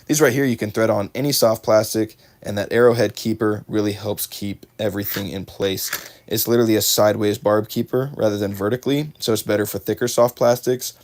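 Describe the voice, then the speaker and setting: A man's voice: fairly low pitch, steady tone, brisk, a little mellow. Chatty man unboxing fishing tackle. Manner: chatty, talks to chat viewers by name, self-correcting. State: slightly losing his voice. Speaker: fishing livestream host. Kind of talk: casual live unboxing chat.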